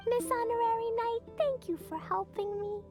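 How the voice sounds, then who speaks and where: A woman voicing a small, brave and excitable little girl adventurer in an anime-style video game. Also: very high-pitched, moderate pace. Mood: earnest, determined. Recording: light music behind.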